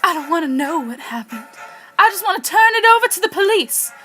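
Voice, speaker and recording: Woman playing a high-pitched, brash radio-drama housewife. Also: sing-song, a little fast, bright, forceful tone, full character voice. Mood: upset, defensive. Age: teens. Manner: theatrical, slangy. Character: brash, defensive gossiping housewife with a secret. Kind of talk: 1940s radio drama acting. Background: music.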